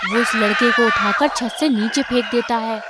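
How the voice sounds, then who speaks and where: A woman's voice: on the high side, fairly even intonation, normal speed, very noisy. A woman reading scripted story narration.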